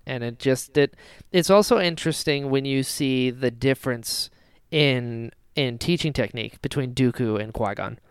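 A man's voice: medium pitch, normal speed, clean tone, dry recording. A man hosting a casual fan discussion podcast, talking animatedly and often slipping into mock quotes of imagined dialogue. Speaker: podcast host.

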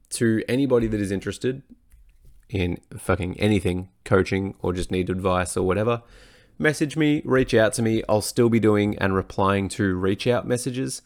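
Hoarse man, low voice. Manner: frank, heavy casual swearing. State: hoarse, losing his voice. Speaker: personal trainer and podcast host. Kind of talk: casual solo podcast talk.

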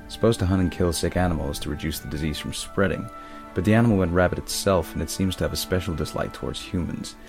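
A low-voiced, earnest man of science played by an actor explains his work politely and with care. It is audio drama dialogue, measured in delivery, with music clearly playing underneath. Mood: concerned, defensive. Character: earnest male genetic scientist defending his dinosaur work.

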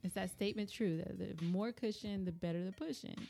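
A woman's voice: medium pitch, a little fast, gravelly, warm tone, soft background music. A woman co-hosting a podcast in casual, teasing banter and plugs, speaking close into a microphone. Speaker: podcast co-host.

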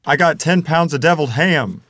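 A man's voice: a little high, fairly quick, a bit bright, dry recording, clean studio sound, natural voice. A man acting a tipsy, blunt middle-aged country father of the bride at a screenplay table read, blustery and sardonic. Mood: jovial.

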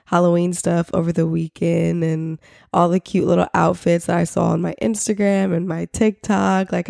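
A young woman hosting a casual podcast while sick, her voice congested, nasal and raspy, chatting with lots of fillers. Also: fairly low pitch, mellow, no room sound, clean studio sound. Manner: chatty, filler-heavy, with little laughs. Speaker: podcast host. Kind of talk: casual solo podcast chat.

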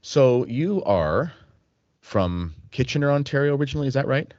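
A man's voice: mid-range voice, lively intonation, normal speed, darkish tone, no room sound, highs cut off. A man from Canada hosting an interview podcast, chatty and joking, with frequent fillers. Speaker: host.

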